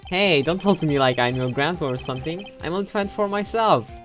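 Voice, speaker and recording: A young woman voicing a weary young male lawyer in a fan game voice-over, speaking in a dry, deadpan way. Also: low voice, quick pace, faint music behind.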